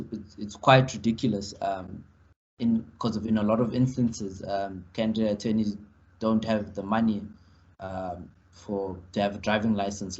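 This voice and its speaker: Man, lowish voice, halting and hesitant, lots of fillers, muffled video-call sound. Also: darkish tone. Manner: halting, full of fillers and restarts. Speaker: legal practitioner on a panel. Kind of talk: panel discussion answer.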